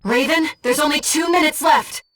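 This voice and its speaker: A woman voicing a gentle, disembodied young female companion in a video game, giving a mercenary pilot composed, earnest and precise tactical reports in a soft, breathy voice. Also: high-pitched, moderate pace, distant mic, faint music behind. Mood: urgent. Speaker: mission operator companion.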